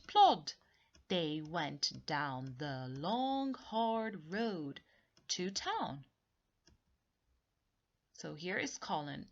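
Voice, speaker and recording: Slow, very animated woman reading a children's storybook. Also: mid-range voice, muffled recording. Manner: expressive, deliberate, repeats and explains for young listeners. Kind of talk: read-along storytelling with simple explanations.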